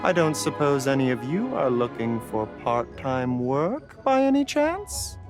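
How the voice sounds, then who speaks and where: A man's voice: medium pitch, sing-song, measured pace, music in the background. A man playing a courteous, wry pharmacist in a video game, with a polite lilting way of talking.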